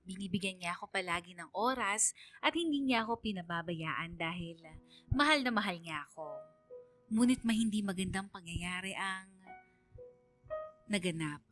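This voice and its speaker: A woman's voice: medium pitch, bright, forceful tone. A woman with a Filipino accent narrating a personal story in the first person for radio.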